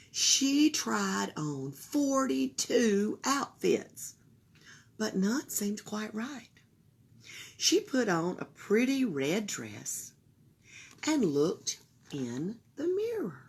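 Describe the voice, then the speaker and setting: A woman reading a children's picture book aloud for a library, slow and expressive. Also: mid-range voice. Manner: slow, expressive, breathy storytelling. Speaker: library storyteller.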